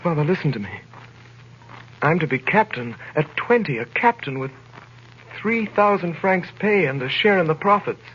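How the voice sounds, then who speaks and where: A man's voice: on the high side, animated, moderate pace, a bit bright, a little hiss or hum. An actor playing an ardent young sailor and telling his story earnestly in 1930s radio drama style. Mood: excited, concerned. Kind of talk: 1930s radio drama acting and first-person narration. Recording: muffled 1930s radio broadcast.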